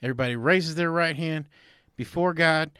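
A man's voice: on the high side, normal speed, pushed and bright, clear, resonant tone, dry recording, clean studio sound. A man improvising comedy banter as a panelist, with a mock-serious, absurdist delivery.

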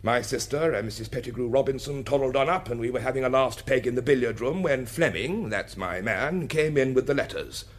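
An actor playing a blustering, evasive English aristocrat in a radio drama, with a clipped upper-class accent and a hesitant, old-fashioned manner. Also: male voice, mid-range voice, fairly quick, hardly any pauses, natural voice.

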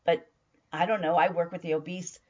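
Hoarse woman, middle-aged, motivational diet coach, emphatic with repetition, slightly muffled sound. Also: on the low side, little pitch movement, a little fast, darkish tone. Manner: emphatic, repeats phrases for stress. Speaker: weight-loss coach. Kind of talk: motivational talk.

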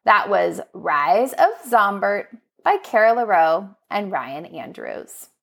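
A woman presenting book recommendations as a podcast host, speaking in an enthusiastic, expressive, prepared narrating style. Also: mid-range voice, slow, vocal fry. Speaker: school librarian.

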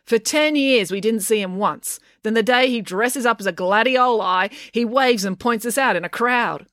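A woman's voice: fairly high pitch, normal speed, fairly bright tone, no room sound, clean studio sound, voice pushed up, natural voice. A woman voicing a brash, sarcastic young girl in a children's audiobook, blunt and scornful.

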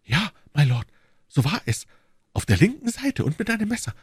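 A man's voice: a little high, big pitch swings, normal speed, a little mellow, slightly rough, no room sound, studio quality, pitched above the performer's own voice, exaggerated voice. A man voicing an anguished, torn queen, proud yet secretly in love, with an imploring, agitated, regal manner. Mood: shaken.

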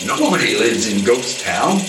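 An amateur actor playing a cocky, blundering supernatural detective in an old-time style radio play, breezy and wry. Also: male voice, medium pitch, big pitch swings, a little slow. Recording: echoey, distant, noisy with mechanical rattle.

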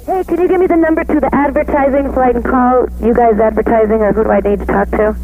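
Male caller, polite and casual, quick and high-pitched, phone-line sound. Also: fairly even intonation. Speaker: caller asking for information. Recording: tinny noisy phone line.

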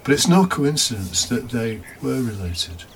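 A man's voice: mid-range voice, big pitch swings, a little slow, creaky. A man preaching a homily as a deacon, reflective, partly scripted with informal asides and rhetorical questions. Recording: faint background noise.

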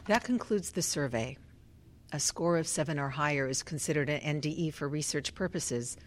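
Low-voiced, controlled woman researcher played by an actress. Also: slight background noise, close to an everyday voice. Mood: clinical, procedural. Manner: clipped, formal, measured questioning. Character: controlled, probing female government researcher running a clinical survey. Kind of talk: radio drama acting.

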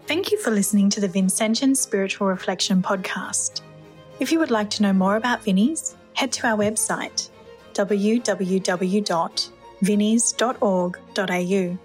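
A woman's voice: medium pitch, moderate pace, soft background music. An Australian woman delivering a scripted podcast sign-off as announcer.

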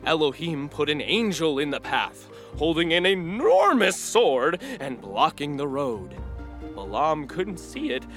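Chatty donkey storyteller, kids' audio drama; bright, lively pitch swings, folksy and earnest. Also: male voice, a little slow, soft background music, heavily acted. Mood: chatty, proud. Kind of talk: children's audio drama acting.

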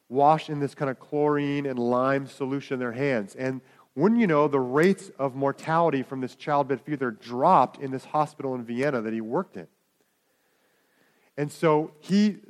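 A man preaching a sermon, in a conversational way with asides to the congregation. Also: mid-range voice. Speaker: pastor.

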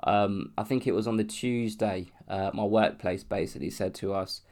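A man's voice: on the low side, fairly even intonation, normal speed, a little mellow. A young man from London with a cold and a sore throat, hosting a solo podcast in a rambling, casual way, restarting and swearing as he talks. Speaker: podcast host.

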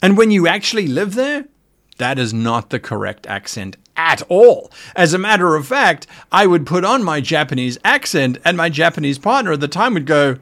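A man with a somewhat high voice that rises and falls a lot, hosting a casual livestream. He talks in an earnest, thoughtful way, pausing and restarting as he works through his points. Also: sing-song, fairly bright tone. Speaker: podcast host and game master.

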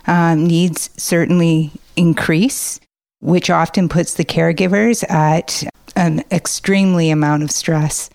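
Female expert guest, low voice, earnest and formal, explanatory interview answers. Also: a little mellow.

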